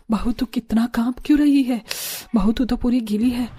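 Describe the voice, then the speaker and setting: A woman's voice: a little high, steady tone, unhurried, mellow, close to an everyday voice. A woman playing poor, caring family women in a Hindi cartoon story, earnest and pleading. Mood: worried, caring. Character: poor, pleading, caring mother-in-law and daughter-in-law.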